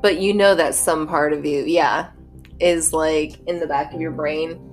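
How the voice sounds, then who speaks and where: A woman's voice: deep voice, steady tone, a little slow, soft background music. A woman co-hosting a casual true-crime podcast, blunt and wry, with joking asides. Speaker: podcast co-host.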